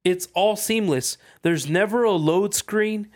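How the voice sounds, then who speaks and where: A man's voice: high voice, takes it slowly. A man talking casually as a podcast co-host, often repeating phrases for emphasis. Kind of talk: casual chat about video games.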